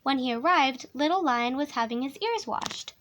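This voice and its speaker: A woman with a high voice reads a children's story aloud. Her delivery is soothing and expressive, shaped for a young listener at bedtime. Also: normal speed, a little hiss or hum. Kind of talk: animated children's storybook reading.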